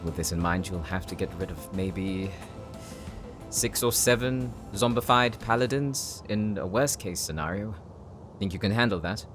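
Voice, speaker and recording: A man's voice: deep voice, lively intonation, moderate pace, music in the background. Man acting as a serious, commanding mission leader. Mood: businesslike. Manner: earnest, commanding, tactical. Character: serious, dutiful male team leader on a combat mission. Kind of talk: audio roleplay acting.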